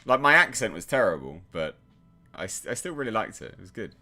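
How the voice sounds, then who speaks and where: A chatty man from England hosts his own livestream, reading comments and rambling in a self-deprecating way. His voice is on the low side but bright, and it swoops up and down with a lot of life. He talks into a desk mic in a home setup. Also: very animated, a little fast. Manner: chatty, self-deprecating, lots of restarts and fillers. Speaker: channel host reading live chat. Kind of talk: casual livestream chat.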